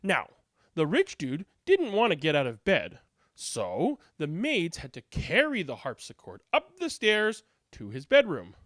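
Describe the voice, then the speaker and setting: Storybook narrator, female, comic and dramatic, wildly varied pitch, unhurried. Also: wildly swooping pitch, a bit bright, dry recording, studio quality. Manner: dramatic, comic, emphatic delivery. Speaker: read-aloud narrator. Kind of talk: animated children's storybook reading.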